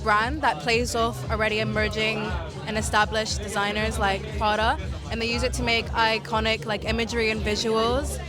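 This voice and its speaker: A woman's voice: fairly high pitch, little pitch movement, normal speed. A woman giving casual interview answers as a fashion brand representative. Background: loud music at a pop-up event.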